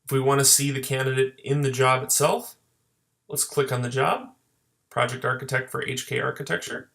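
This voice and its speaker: A man with a noticeably creaky, fry-edged voice narrates a screen tutorial. He speaks as a software trainer at a medium pitch. His explanations are plain and move step by step. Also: moderate pace. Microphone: voice-over for screen recording.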